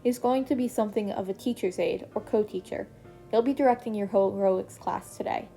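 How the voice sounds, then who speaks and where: Cast: woman reading as a blunt, tired male teacher, mellow voice, soft music underneath. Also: medium pitch, fairly quick, creaky, close to an everyday voice. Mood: dry.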